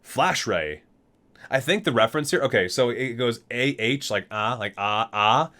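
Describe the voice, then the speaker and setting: A man's voice: mid-range voice, lively intonation, normal speed, pushed and bright. A man reading aloud in an ASMR style with casual asides, in an enthusiastic, geeky and chatty manner. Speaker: video host reading aloud from a book.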